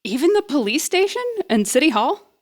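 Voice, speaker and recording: A woman's voice: high-pitched, very animated, brisk. A woman playing a nervous, jokey young woman who rambles and puts herself down as she bluffs through an interview in an audio drama. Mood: joking, nervous. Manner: rambling, self-deprecating, flustered. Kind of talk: audio drama acting, conversational comedy.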